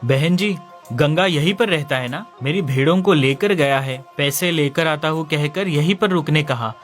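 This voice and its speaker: A man playing an earnest, trusting village shepherd who is easily upset, in an animated folk story. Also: fairly high pitch, moderate pace, lightly acted. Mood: worried. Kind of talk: Hindi animated moral story dialogue. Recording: music under the voice.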